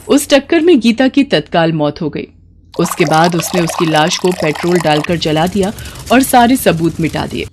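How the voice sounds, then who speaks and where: A woman's voice: on the low side, a little mellow, a little hiss or hum. A woman telling a scripted dramatic story as its narrator.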